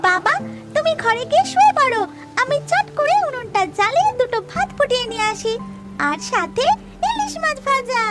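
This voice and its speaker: Little cartoon village girl: eager, caring, extremely high voice, loud music behind. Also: very animated, moderate pace. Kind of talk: cartoon voice acting.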